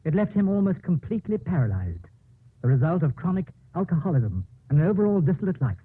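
An actor playing a guarded, authoritative male doctor in a 1950s radio drama, with a raspy voice. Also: a little high, very animated, quick pace, dry recording, full character voice. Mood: measured, polite. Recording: muffled phone-like old radio broadcast.

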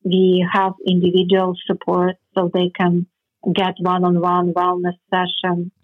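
Woman, Ukrainian accent, native Ukrainian speaker, husky voice, phone-line sound, earnest interview guest. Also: lowish voice, monotone. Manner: earnest, slightly halting non-native phrasing. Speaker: interview guest, foundation representative.

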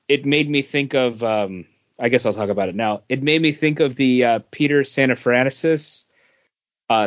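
A man's voice: medium pitch, no echo, highs cut off. A man co-hosting a podcast, giving his opinions in casual, conversational review chat. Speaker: comics podcast co-host.

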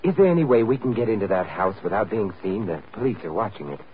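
Through a thin, noisy old radio recording comes a suave sleuth voiced by a male actor. He presses and probes with commanding urgency and dry wit, his pitch swinging freely in classic radio-drama style. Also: animated, fast, narrow, tinny audio, natural voice. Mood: urgent, pressing. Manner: insistent, probing, dryly witty.

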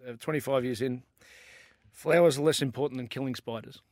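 A man in his fifties co-hosting a casual show with dry, deadpan delivery. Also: mid-range voice, a little fast, no echo. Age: 50s. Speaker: show co-host. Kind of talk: casual banter.

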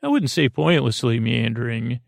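A man with a creaky, gravelly voice hosting a sleep podcast in a meandering, halting, goofy monologue that keeps interrupting itself. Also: mid-range voice, sing-song, no echo, clean studio sound. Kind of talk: rambling bedtime monologue.

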